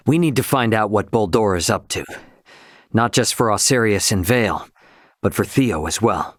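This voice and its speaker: A man's voice: mid-range voice, dry recording, studio quality, natural voice. An actor playing a brash young male starship captain in a video game, with a casual, plainspoken delivery.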